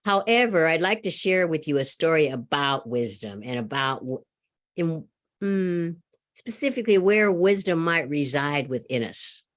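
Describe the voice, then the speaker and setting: A middle-aged woman giving a sermon, loud and animated, laughing often and telling a story. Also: low voice, unhurried. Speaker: speaker at a church service.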